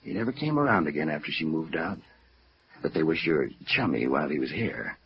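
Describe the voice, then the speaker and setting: Deep-voiced, slangy American building manager. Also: male voice, very animated, brisk, heavily acted. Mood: gossipy. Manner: colloquial, wisecracking. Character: gossipy, slangy, guarded male apartment house manager. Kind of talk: 1950s radio drama acting. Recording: muffled vintage radio recording.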